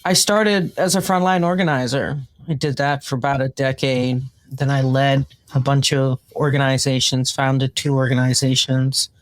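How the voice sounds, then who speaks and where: A woman's voice: rumbling low, fairly even intonation, normal speed, hardly any pauses. A woman with a New York accent, explaining her work as a guest in an interview. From New York, United States. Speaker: podcast guest, consultant and trainer.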